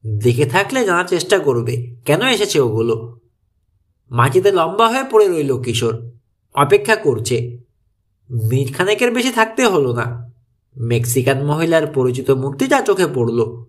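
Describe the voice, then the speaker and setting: A man with a fairly high voice narrates an audiobook thriller. His delivery is highly animated and suspenseful, with pitch that moves around a lot as the scripted story unfolds. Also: normal speed, long pauses.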